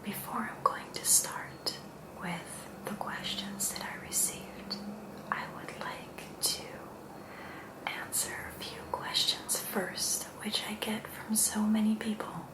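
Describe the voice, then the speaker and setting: Whispering Dutch woman, slow and hushed. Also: medium pitch, level tone, echoey room, rough recording. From Netherlands. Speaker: ASMR video host. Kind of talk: soft-spoken and whispered ASMR.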